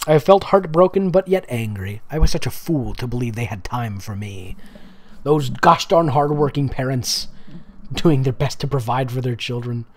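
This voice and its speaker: Man, amused sarcastic delivery, lively varied pitch, story reading mixed with casual commentary. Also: normal speed. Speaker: host reading fan fiction aloud with commentary. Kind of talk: dramatic reading with casual mocking asides.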